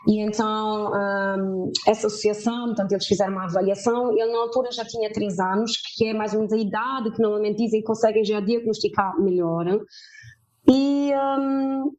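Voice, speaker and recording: A woman in her fifties, from Germany, speaking as a guest in an interview and telling her story haltingly with many filler sounds. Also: medium pitch, fairly even intonation, a little mellow, rough recording.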